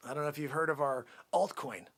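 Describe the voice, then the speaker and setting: A man acting a pushy, bragging, sleazy cold-call salesman, improvising comic patter in a husky, strained voice that is brash, wheedling and repetitive. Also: on the high side, quick pace, lightly acted. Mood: smooth, confiding. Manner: brash, wheedling, repetitive, swinging between whispers and yells.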